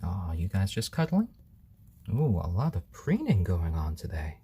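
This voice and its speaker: A man's voice: deep voice, wildly swooping pitch, measured pace, dark tone, breathy edge. A man hosting a casual live stream, chatting easygoingly with viewers and cooing over his baby chicks at home.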